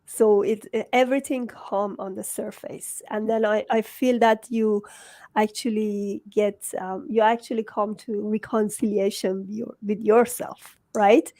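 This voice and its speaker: A woman's voice: mid-range voice, steady tone, unhurried, mellow, no echo. A woman with a Persian accent, a native Farsi speaker, hosting a conversational interview. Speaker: host, book coach.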